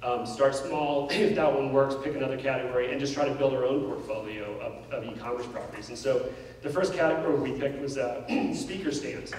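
Middle-aged man, keynote storyteller, rapid and candid, heard from far back in a big hall. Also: mid-range voice, a little hiss or hum. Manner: animated, gestures while pacing the stage; candid, self-deprecating. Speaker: keynote speaker. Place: large indoor auditorium stage. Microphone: handheld mic.

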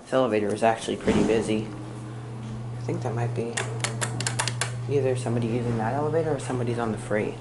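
A man giving a casual, halting commentary on a handheld camera, trailing off and correcting himself as he goes. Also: mid-range voice, steady tone. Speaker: elevator enthusiast filming a tour. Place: inside and outside a department store elevator. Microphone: handheld camera held by the speaker. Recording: roomy echo, loud mechanical ambient noise.

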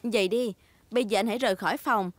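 A woman voicing a warm, playful mummy pig in a children's cartoon dub, motherly and expressive. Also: mid-range voice, fast, noisy background, close to an everyday voice.

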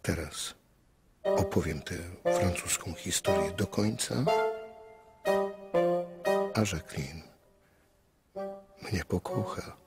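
A man's voice: low voice, very animated, takes it slowly, long pauses, loud music behind the voice, heavily acted. An actor playing an earnest, troubled male storyteller in a radio drama, speaking intimately and pleadingly. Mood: confiding.

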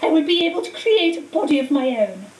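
A woman reading a book aloud, voicing a cold, hissing dark lord who speaks slowly and shrieks his commands. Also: sits high, very animated, voice pushed up. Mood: menacing. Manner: slow, menacing, shrieks commands. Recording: echoey room, distant mic, faint hiss.

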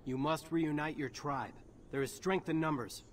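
Man playing a brash, higher-pitched human soldier. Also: normal speed, close to an everyday voice. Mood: earnest. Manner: casual, cocky, blunt slang. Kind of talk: video game character acting. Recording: clear noise behind the voice.

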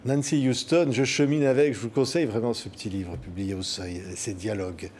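A man in his fifties hosting a TV studio interview, prompting his guest with lively questions and brief affirmations at a desk microphone. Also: lowish voice, a little fast, slight background noise. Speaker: TV host. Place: TV news studio.

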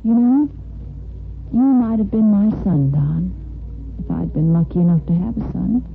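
Kind tired nurse, halting and soft-hearted, 50s radio drama, thin lo-fi sound w faint music. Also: female voice, medium pitch, animated, creaky. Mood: tender, encouraging. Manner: hesitant, gentle, halting speech. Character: tired, tender-hearted hospital nursing superintendent. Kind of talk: 1950s radio soap opera acting. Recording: muffled old radio broadcast, faint music.

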